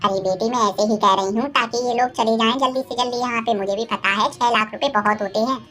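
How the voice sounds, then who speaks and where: A woman voicing a greedy, scheming older mother-in-law in a cartoon moral story, bossy, insistent and quick to take offence. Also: on the high side, steady tone, a bit bright, faint music behind, lightly acted. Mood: bossy, impatient.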